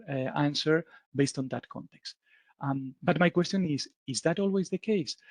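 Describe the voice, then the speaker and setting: A man's voice: a little high, dry recording. A man with a Spanish accent giving a conference talk, explaining step by step with many fillers and frequent "right?" checks. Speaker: lecturer at a symposium. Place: lecture hall.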